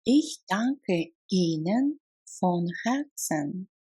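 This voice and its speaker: A woman language teacher reading out phrases for learners to repeat, enunciating deliberately, word by word. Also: fairly low pitch, lively intonation, dark tone, slightly rough. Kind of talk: slow phrase drill with translations, listen and repeat.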